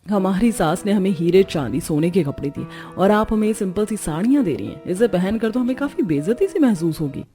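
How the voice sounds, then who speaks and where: A woman's voice: mid-range voice, animated, fairly quick, few pauses, warm tone, soft background music, natural voice. A woman voicing a snobbish, exclaiming young new bride in a cartoon story. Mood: scornful. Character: wide-eyed, snobbish young new bride, a rich daughter-in-law. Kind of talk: Hindi cartoon story voice acting.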